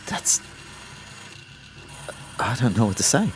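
A reflective young boyfriend played by a man in an audio drama, with a low voice. He tells his story in a measured, understated way and sounds concerned for others. Faint noise sits behind him. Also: very animated. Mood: concerned, hesitant. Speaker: narrator and lead character. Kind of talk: first-person audio drama narration and dialogue.

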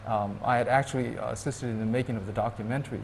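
A man's voice: medium pitch, fairly even intonation, gravelly. Thoughtful Hawaiian man, faint hiss. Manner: thoughtful, halting, with many restarts. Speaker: interview guest. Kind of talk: reflective interview talk.

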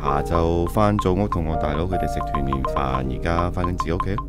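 Very deep middle-aged father over loud music acted by a man. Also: fairly quick, natural voice. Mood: casual, dry. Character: middle-aged father, dry and evasive, bantering with his son. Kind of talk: radio drama dialogue, conversational. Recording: loud music bed behind the voice.

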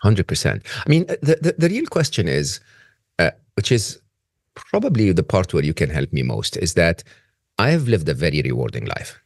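Man in his fifties, deep voice, lively swinging intonation, candid and reflective, video call. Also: moderate pace, creaky, no echo, clean studio sound. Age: 50s. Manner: reflective, candid, trailing off with fillers. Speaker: podcast host. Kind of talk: casual conversational interview. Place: home office with bookshelves.

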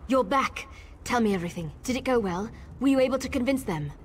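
A woman's voice: a little high, animated, brisk, lightly acted. A woman playing an earnest, impatient young elf in a fantasy game, speaking expressively. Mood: eager. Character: eager, earnest young female elf rebel. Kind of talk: fantasy video game voice acting. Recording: faint background noise.

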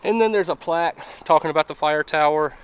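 A man's voice: a little high, fast talker, clean tone, highs cut off. A man in his thirties with a Southern accent, chatting easily outdoors while hiking, filmed up close in the woods. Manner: relaxed, easygoing, drawls "fixing to". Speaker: vlogger. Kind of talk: casual hiking vlog chat.

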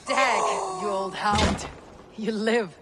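Young viking hero from a game, high voice, terse n brooding, water noise behind. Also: male voice, slow pace. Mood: bold, commanding. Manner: terse, formal, archaic phrasing. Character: bold, brooding young Viking warrior, male. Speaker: video game protagonist. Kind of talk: dramatic game cutscene acting.

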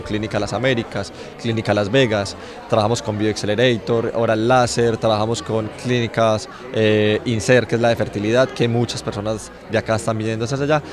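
A man in his thirties, a health services manager, briskly listing services in an interview at a busy indoor expo into handheld mics. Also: mid-range voice. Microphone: handheld reporter mics in frame. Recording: crowd chatter behind.

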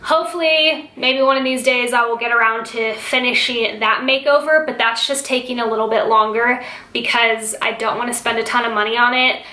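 A woman's voice: a little high, steady tone, a little fast, sharp tone. A woman in her twenties with an American accent, chatting casually and informally to camera as a home decor vlogger. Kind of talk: casual haul vlog chat to camera. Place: furnished home room, indoors. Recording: roomy indoor echo.

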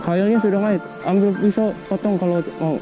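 A man's voice: high voice, steady tone, normal speed, rough recording. A man hosting a fishing vlog on a river boat, talking urgently and repeating short instructions. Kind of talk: excited, urgent talk while landing a fish. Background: music.

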